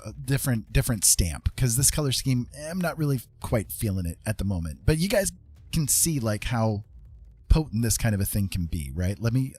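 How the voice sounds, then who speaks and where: A man's voice: fairly low pitch, darkish tone, husky. A man hosting a casual drawing tutorial, thinking aloud in a rambling, informal way with frequent tag questions. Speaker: art tutorial host.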